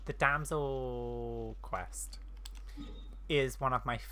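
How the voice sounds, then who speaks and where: A man's voice: medium pitch, fairly even intonation, extremely slow, pushed and bright, a little hiss or hum. A man giving casual, informal commentary as he plays a video game. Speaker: gaming streamer.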